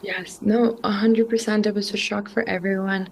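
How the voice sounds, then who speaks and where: A woman's voice: medium pitch, little pitch movement, normal speed, creaky, a little hiss or hum. A woman from Venezuela, a journalist, earnestly explaining events step by step in an interview, with frequent fillers and restarts. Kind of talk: interview answers, chronological account. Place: Caracas.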